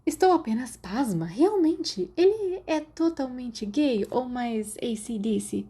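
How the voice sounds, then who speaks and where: A woman's voice: a little high, animated, slow pace, mellow, husky, voice pushed up, full character voice. A woman with a Brazilian accent voicing a curious, blunt teenage girl in a story, asking pointed questions. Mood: prying. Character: curious, blunt teenage girl prying into friend's love life.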